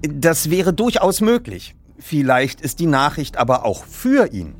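A man reads the part of a polite teenage sleuth. He is self-assured and articulate, choosing formal, precise words with animated intonation in a storybook style. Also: on the high side, faint music behind, pitched above the performer's own voice, heavily acted. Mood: earnest. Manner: formal, precise, measured wording.

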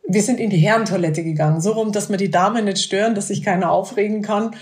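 A woman, a physiotherapist telling lively stories in a podcast interview, with many hesitant fillers. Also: medium pitch, quick pace. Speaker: physiotherapist of a national bobsleigh team.

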